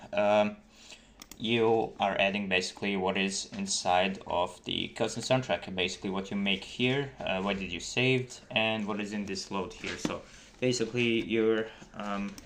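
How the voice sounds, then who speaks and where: A low-voiced man talks through a casual software tutorial in a halting, informal way. There is clear but light noise, with keyboard typing and mouse clicks behind him. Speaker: game developer giving a tutorial. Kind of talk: casual screen-recorded tutorial. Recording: noisy desktop mic with key clicks.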